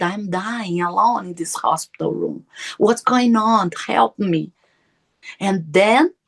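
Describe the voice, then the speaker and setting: Slow, earnest woman, Portuguese native. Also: mid-range voice. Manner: earnest, searching for words, apologetic. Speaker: podcast guest. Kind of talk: personal testimony.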